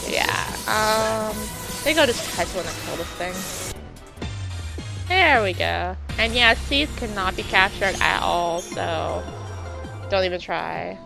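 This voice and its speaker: A woman with a gravelly, creaky voice and a sore throat, chatting through gameplay in a loose, rambling way. Her pitch sits in the middle, and soft game music plays underneath. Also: expressive, slow pace. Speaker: let's play host. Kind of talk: casual gameplay commentary.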